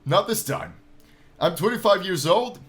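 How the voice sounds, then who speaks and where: A man in his twenties hosting a game playthrough, reading story text aloud with theatrical, drawn-out delivery and casual asides. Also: high voice, expressive, fairly bright tone. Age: 20s. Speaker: gaming channel host.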